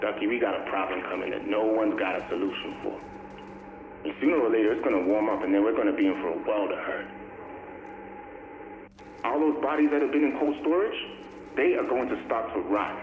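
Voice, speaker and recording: Game role: male actor as cynical city survivor, deepish world-weary voice, colloquial, narrow noisy audio-log sound. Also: fairly quick, pauses often, lightly acted. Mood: grim warning. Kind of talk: dramatic monologue in a video game audio log. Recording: tinny, echoey, noisy recorded audio log.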